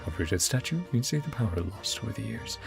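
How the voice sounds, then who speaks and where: A man's voice: on the low side, expressive, fast talker, vocal fry. A man giving a casual, rambling game playthrough commentary, musing as he goes and easily amused. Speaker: gaming content creator. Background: game music.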